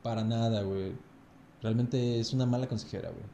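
A man's voice: mid-range voice, steady tone, a little fast, vocal fry, mellow, roomy sound, muffled recording, a little hiss or hum. A Mexican man in his thirties telling his own story in a loose podcast chat, full of crude slang, tagging "güey" onto nearly every phrase. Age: 30s. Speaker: podcast guest.

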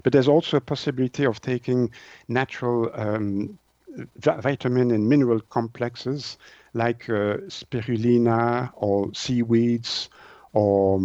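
A man from Switzerland, a native French speaker, explaining things as an interview guest in halting English, searching for words. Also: medium pitch, steady tone, warm tone, dry recording, muffled recording. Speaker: naturopath and author, interview guest.

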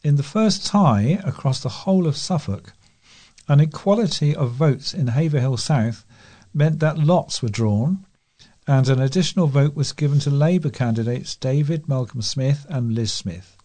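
A man's voice: medium pitch, moderate pace, dark tone. A man with a British accent reading scripted local news as a volunteer newsreader.

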